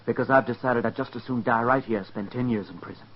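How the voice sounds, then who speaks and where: An actor playing a desperate, defiant gunrunning outlaw with a rustic Western American accent and a blustering, jumpy manner in a 1950s radio western. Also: male voice, mid-range voice, quick pace, breathy edge. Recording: dull, muffled 1950s radio recording.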